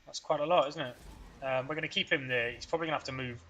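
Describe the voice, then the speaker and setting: Bright-voiced man thinking aloud through a game. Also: mid-range voice, very fast. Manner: rambling, thinks aloud, self-deprecating. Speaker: let's play host. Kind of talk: casual gameplay commentary.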